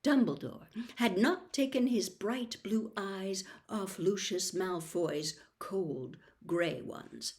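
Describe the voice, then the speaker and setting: A woman's voice: medium pitch, takes it slowly, few pauses, darkish tone. A woman storyteller narrating a book aloud from a script.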